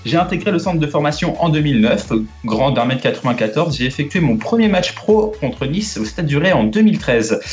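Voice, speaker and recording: A man's voice: on the high side, expressive, brisk, echoey room, faint music behind. A man co-hosting a fan live stream, reading out quiz clues in first person, then chatting casually. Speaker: co-host running a quiz.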